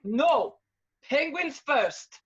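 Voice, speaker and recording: A woman's voice: high-pitched, expressive, drawn-out words, highs cut off, heavily acted. A woman voicing an aggressive, short-tempered female penguin gangster in a comedy radio play. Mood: angry, bossy.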